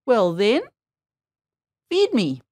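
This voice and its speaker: A woman's voice: a little high, wildly swooping pitch, extremely slow, darkish tone, exaggerated voice. A woman voicing a sly, hungry male fox in a storybook, with wheedling, insistent questions. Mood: demanding, sly.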